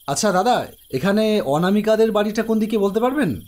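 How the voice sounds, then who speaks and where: A young man voicing a protective elder brother who also narrates the animated ghost story, brisk, teasing and reassuring. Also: high voice. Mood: polite.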